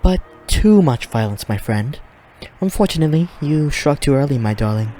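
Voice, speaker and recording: A man playing a suave, high-ranking demon in an audio drama, theatrical, courteous and faintly condescending. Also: lively intonation, normal speed, dark tone. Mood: cool, condescending. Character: suave male demon of high rank, flamboyant, courteous, menacing. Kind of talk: fiction podcast audio drama acting.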